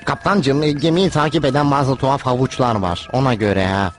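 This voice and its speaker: Bumbling pirate first mate for animation, male actor: hesitant, stuttering, deferential, medium-pitched, a nervous underling. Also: moderate pace, soft background music, close to an everyday voice. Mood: timid, stammering. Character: timid, whiny, bumbling male pirate sidekick. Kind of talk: dubbed cartoon voice acting.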